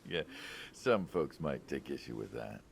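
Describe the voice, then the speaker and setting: A man's voice: very deep voice, lively intonation, a little slow, gravelly, slight background noise, full character voice. An actor playing a wary but uneasily friendly mob hitman in a video game, speaking in a hesitant, skeptical, conversational way.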